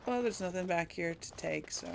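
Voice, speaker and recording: A woman's voice: fairly low pitch, moderate pace, gravelly, a little hiss or hum. A woman giving casual commentary as she role-plays through a video game, thinking aloud hesitantly. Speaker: video game streamer role-playing for an audience.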